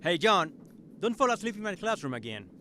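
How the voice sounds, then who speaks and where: Stern, high-pitched male teacher played by an actor. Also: big pitch swings, a little fast, sharp tone, slight background noise, exaggerated voice. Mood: scolding. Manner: scolding, authoritative. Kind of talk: audio drama acting.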